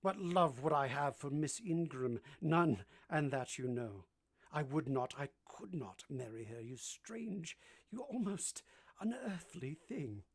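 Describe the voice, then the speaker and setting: Brooding master of the house, played by an actor: commanding, teasing, ardent. Formal, theatrical delivery for a period radio romance. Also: male voice, fairly high pitch, animated, unhurried, slightly rough, heavily acted. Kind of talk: vintage radio drama acting.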